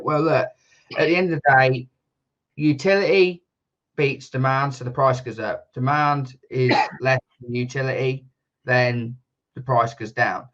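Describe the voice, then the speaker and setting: A man talking casually as a guest, rambling and correcting himself, laughing often and getting louder and higher when worked up. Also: lo-fi sound. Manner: gets loud and high when fired up. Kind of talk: casual podcast chat.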